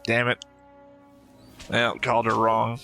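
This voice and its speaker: A man with a middling pitch and a bright, forceful edge reacts in a casual, opinionated way. He is blunt and swears freely, with faint music behind him. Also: monotone, takes it slowly, vocal fry. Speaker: reaction video host.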